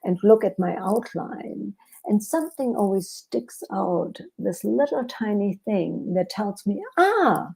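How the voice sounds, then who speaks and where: A woman's voice: medium pitch, lively intonation, takes it slowly, creaky, a little mellow, slightly rough. A woman from Germany with a German accent, an author talking through her writing process in a podcast interview with many fillers and restarts. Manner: thoughtful, hesitant with fillers and restarts. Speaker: author guest.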